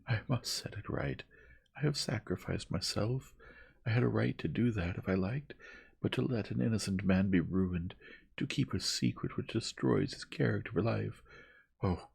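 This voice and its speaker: A man plays a frantic young Victorian lady in a storybook reading. The voice is lifted high and swings widely, with a husky edge. The delivery is vehement, remorseful and dramatic. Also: a little mellow, heavily acted.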